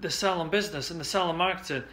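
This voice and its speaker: A man's voice: on the high side, racing, pushed and bright. A man in his forties, a salon marketing coach, talking casually to a desk camera in an indoor office, chatty and upbeat, with plenty of restarts and "uh"s. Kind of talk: casual direct-to-camera pitch.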